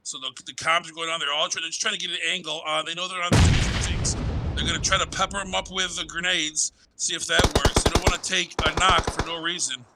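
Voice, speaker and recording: A man with a somewhat high voice gives live analysis of an online shooter match, explaining tactics like a coach. Gunfire and vehicle roars from the game sit clearly under his voice. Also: steady tone, fairly quick, heavy background noise. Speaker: esports caster and analyst.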